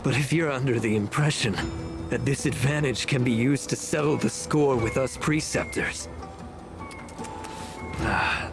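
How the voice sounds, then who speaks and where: An actor playing a scheming, arrogant elder official who speaks in a pompous, formal, measured way, like a villain in a story game. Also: male voice, mid-range voice, close to an everyday voice.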